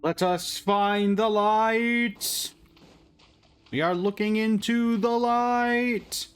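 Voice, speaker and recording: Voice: medium pitch, fairly even intonation, drawn-out words, clear, resonant tone. A streamer giving casual live commentary while reacting to a game.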